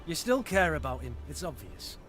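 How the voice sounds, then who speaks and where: A man's voice: on the high side, big pitch swings, normal speed, fairly bright tone, faint music behind. A man playing a blunt, frank, loyal war companion in a fantasy video game, speaking colloquially. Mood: frank, sympathetic.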